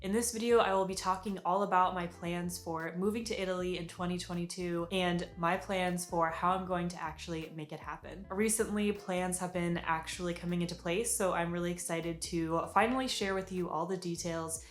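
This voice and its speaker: A woman's voice: on the low side, fairly even intonation, normal speed, few pauses, roomy sound. A young American woman chatting upbeat and casual in an advice vlog, with lots of fillers. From United States. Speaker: vlogger.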